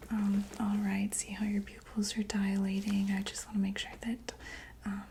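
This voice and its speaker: A woman whispering softly in a breathy ASMR medical roleplay, gently and tentatively talking through each step as a doctor. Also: mid-range voice, completely flat delivery, moderate pace, slight background noise. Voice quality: breathy, mostly unvoiced whisper.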